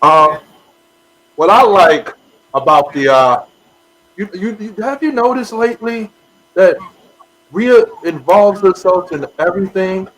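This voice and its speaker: A man co-hosting a casual wrestling podcast, talking in an animated way and addressing wrestlers directly. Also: sits high, a little slow, pauses often, poor audio. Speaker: podcast co-host. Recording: faint background noise.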